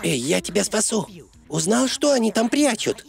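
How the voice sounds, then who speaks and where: A man's voice: sits high, very animated, moderate pace, vocal fry, faint music behind, full character voice. A man voicing a sly, scheming small animal spy in a cartoon dub. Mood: conspiratorial.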